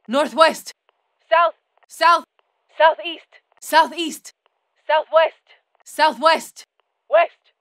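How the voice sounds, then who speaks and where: A tough female special forces operator from a military video game, barking clipped callouts and commands. Her voice sits high and bright for a soldier. The studio sound is completely dry and close. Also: no echo, low-quality recording.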